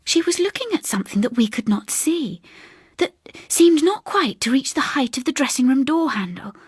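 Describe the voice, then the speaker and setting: A woman reading a ghost story as dramatic scripted narration, with hushed, suspenseful and deliberate phrasing. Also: high-pitched, animated, slightly rough, dry recording. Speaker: audiobook reader.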